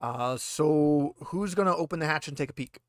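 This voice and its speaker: A man narrating a tabletop roleplaying game as game master in a halting, conversational way. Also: a little high, no echo.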